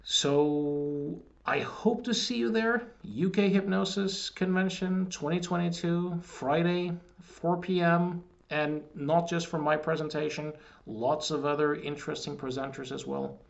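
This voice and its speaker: A man in his forties, a hypnotist, recording a measured promotional video message at his desk in a small wood-panelled room, explaining his ideas and often repeating words as he restarts. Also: on the high side, steady tone, vocal fry. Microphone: webcam at desk. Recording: dull lo-fi webcam audio.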